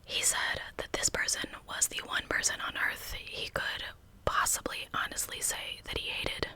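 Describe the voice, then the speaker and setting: Woman doing asmr true crime, all whispered, bright crisp hiss, chatty storyteller. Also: moderate pace. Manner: conversational asides to listeners. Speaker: true crime channel host.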